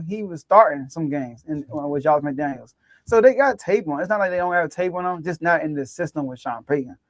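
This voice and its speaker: A man with a fairly high voice talks football in loose, rambling banter. He restarts his sentences and laughs along the way. The sound is dry and close to the mic. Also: no room sound. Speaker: sports show host. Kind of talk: casual sports chat.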